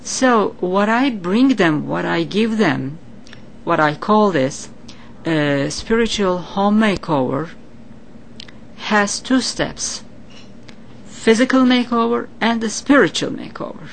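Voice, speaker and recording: A woman's voice: medium pitch, animated, slow, vocal fry, a little hiss or hum. A woman from Turkey with a Turkish accent, earnestly explaining her psychic work as an interview guest. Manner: earnest, explanatory, with non-native grammar. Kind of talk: interview answers.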